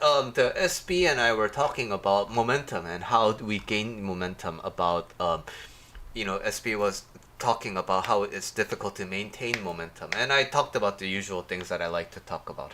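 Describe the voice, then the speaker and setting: A man hosting a casual livestream, his voice a little off from a sore throat, rambling with many pauses and talking to viewers by name. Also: sits low, bright, forceful tone, slight background noise. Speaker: life coach hosting a livestream.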